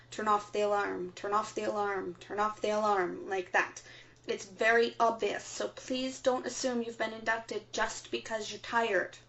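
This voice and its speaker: A woman playing a composed, earnest resistance radio broadcaster in a scripted audio drama, speaking in a measured, solemn way. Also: medium pitch, muffled recording, slight background noise, close to an everyday voice. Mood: firm, instructive. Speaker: radio show host.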